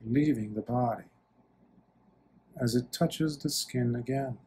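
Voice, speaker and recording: A man leading a guided meditation as a monk, speaking gently and soothingly in short phrases with long pauses. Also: mid-range voice, a little hiss or hum.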